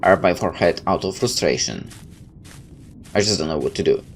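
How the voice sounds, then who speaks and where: A man's voice: low voice, lively intonation, fairly quick. A man reading a visual novel aloud like a dramatic storyteller, tossing in his own asides. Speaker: let's player. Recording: clear background noise.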